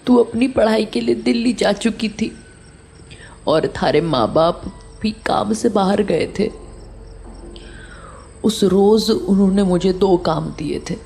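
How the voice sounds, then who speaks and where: A woman playing a remorseful older caretaker, halting and sorrowful in an audio horror drama. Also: mid-range voice, vocal fry, mellow, slight background noise. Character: remorseful older female caretaker confessing a terrible mistake.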